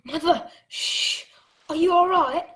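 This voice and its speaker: A woman's voice: extremely high pitch, slow, fairly bright tone, exaggerated voice. A woman playing an earnest, anxious young boy who narrates and acts in a radio play. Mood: hushed urgency. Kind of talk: radio play storytelling and acting.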